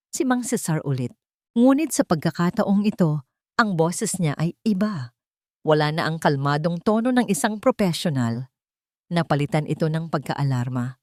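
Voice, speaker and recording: A woman's voice: low-pitched, a little mellow, no room sound, studio quality. A woman narrating a scripted dramatic story in a solemn manner.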